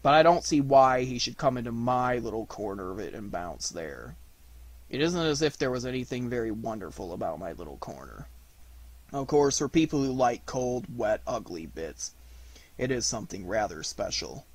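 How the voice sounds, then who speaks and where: A man voicing a gloomy, aggrieved old donkey in a storybook, gruff, slow and deadpan. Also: mid-range voice. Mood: gloomy, grumbling. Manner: slow, drawn-out, deadpan grumbling.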